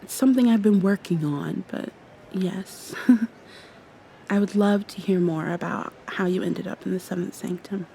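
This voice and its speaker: A woman playing a shy, flustered young vampire girl in love in an intimate ASMR roleplay, speaking haltingly and often dropping toward a whisper. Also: medium pitch, slight background noise, natural voice. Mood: flustered, affectionate. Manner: soft, halting, breathy whispers and nervous laughs.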